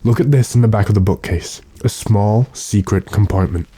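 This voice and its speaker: Man acting a deep, dark-voiced commanding vampire hunter. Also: slightly rough, no room sound. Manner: deliberate, authoritative. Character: calm, commanding gentleman vampire hunter, master of a servant. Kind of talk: classic radio horror drama acting.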